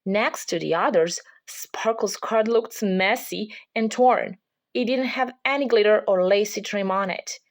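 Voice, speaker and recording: A woman's voice: medium pitch, measured pace. A woman reading a children's picture book aloud as a parent would, in scripted storybook narration.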